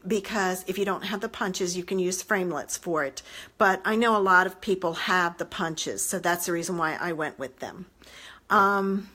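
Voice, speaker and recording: Chatty hoarse woman, live craft stream. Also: medium pitch, fairly bright tone. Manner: chatty, rambling, talks straight to viewers. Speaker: papercraft demonstrator. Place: home living room, indoors. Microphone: phone or tablet camera at arm's length.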